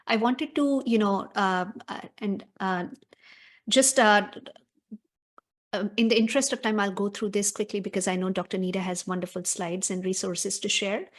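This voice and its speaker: A woman physician presenting in a webinar, walking through treatment steps in a brisk, technical way with many filler sounds. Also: medium pitch, fairly even intonation.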